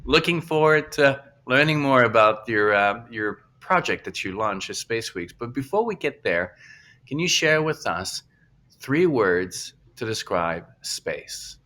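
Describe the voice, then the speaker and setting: A man's voice: medium pitch, expressive, a little slow, bright, forceful tone. A man hosting a casual podcast interview, asking long, rambling questions with restarts. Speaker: podcast host.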